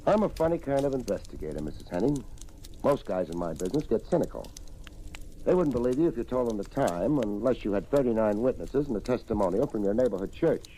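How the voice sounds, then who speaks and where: An actor playing a shrewd, wry private investigator in an old-time radio detective drama. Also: male voice, fairly low pitch, sing-song, warm tone, slight background noise, poor audio. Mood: knowing, accusing. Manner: wry, knowing, deliberate.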